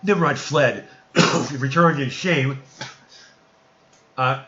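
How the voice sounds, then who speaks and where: A man reading archaic scripture narrative aloud in an emphatic, declamatory and sometimes halting way. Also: mid-range voice, bright, forceful tone, highs cut off.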